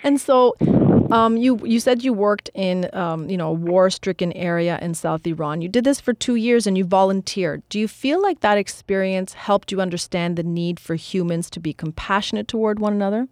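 A woman's voice: mid-range voice, moderate pace, hardly any pauses, a little mellow, no room sound, studio quality. A woman hosting a radio health show, reading a scripted intro and asking interview questions.

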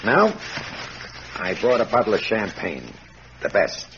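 A man's voice: fairly low pitch, very animated, a little slow, a bit bright, a little hiss or hum. A man playing a smug, menacing gangster in a 1940s radio crime drama, with taunting, slangy tough talk, on a muffled old recording.

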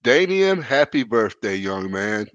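A man's voice: lowish voice, sing-song, a little slow, a bit bright, dry recording, muffled recording. A congested, stuffed-up man chatting casually on a podcast as co-host, rambling and self-mocking with many restarts.

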